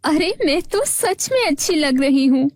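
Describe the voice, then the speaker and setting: A woman's voice: sits high, sing-song, measured pace, voice pushed up. A woman voicing an innocent, gullible young girl in a children's cartoon story, earnest and wide-eyed. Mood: grateful.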